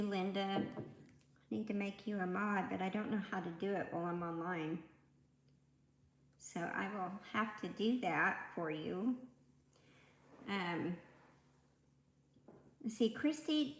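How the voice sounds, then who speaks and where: A soft-spoken woman in her fifties with a slightly raspy voice chats unhurriedly with viewers on her livestream. She takes her time and wanders from topic to topic. The sound comes through a tablet mic in an echoey room. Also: medium pitch, measured pace, pauses often. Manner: chatty and rambling, greeting viewers by name. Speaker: livestream host. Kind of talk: casual livestream chat with viewers. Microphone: tablet microphone.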